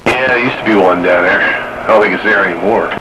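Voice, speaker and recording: An American man in relaxed, informal conversation with other operators over a two-way radio. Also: lowish voice, fairly quick. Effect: two-way radio transmission. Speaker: experienced radio operator giving antenna advice. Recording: noisy two-way radio with steady background noise.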